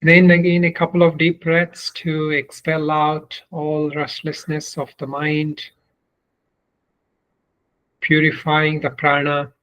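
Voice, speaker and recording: Male meditation guide. Very slow, measured delivery with little melodic movement and long pauses. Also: a little high, monotone, fairly bright tone, poor audio. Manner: deliberate, measured, with long pauses between short phrases. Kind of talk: guided meditation, spoken instruction.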